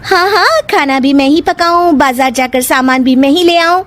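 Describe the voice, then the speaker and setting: A woman's voice: high-pitched, moderate pace, a bit bright, soft background music, voice pushed up. A woman voicing a defiant, quarrelsome young wife in a cartoon story. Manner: quarrelsome, retorting.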